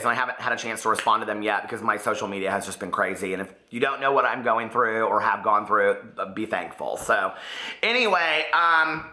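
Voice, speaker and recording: Man, chatty book vlogger, hoarse recovering voice, very bright tone, echoey room. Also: mid-range voice. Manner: chatty, rambling, expressive. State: voice partly lost, recovering. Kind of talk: casual chat to camera.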